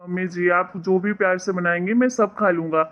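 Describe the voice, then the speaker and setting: A woman voicing a placating, joking husband and son-in-law in an animated family story. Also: lowish voice, fairly quick, lightly acted. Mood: agreeable. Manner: coaxing, joking. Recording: slightly dull, lo-fi sound.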